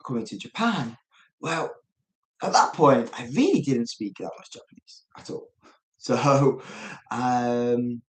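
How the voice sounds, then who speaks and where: A man's voice: medium pitch, roomy sound, low-quality recording. A man with an English accent chatting casually and unscripted as he hosts a live stream, rambling and thinking aloud. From England. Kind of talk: casual unscripted self-introduction to viewers.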